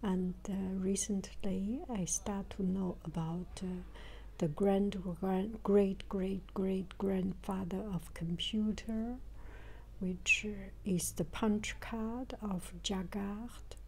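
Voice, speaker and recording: An older woman speaks in a soft-edged, mellow voice that is breathy and close to a whisper. She moves slowly through her reflective vlog narration. She often halts, searching for words and repeating a phrase before going on. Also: medium pitch, fairly even intonation. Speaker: travel vlogger.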